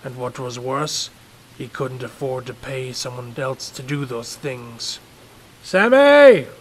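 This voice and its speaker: A male storyteller with a mid-pitched voice reads a scripted horror tale. A little noise sits behind his narration. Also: flat delivery, unhurried, fairly bright tone.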